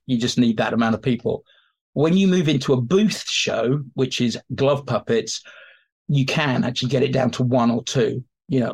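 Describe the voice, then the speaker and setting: A man with a medium-pitched voice talks as an expert guest in a history interview. He explains conversationally, telling the past as informal anecdote. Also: breathy edge.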